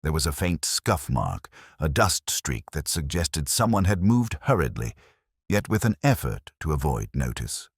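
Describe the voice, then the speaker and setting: Very deep, measured male mystery narrator. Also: sing-song, vocal fry, breathy edge, no room sound, studio quality. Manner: measured, literary, formal. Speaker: first-person detective narrator. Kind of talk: scripted audiobook-style mystery narration.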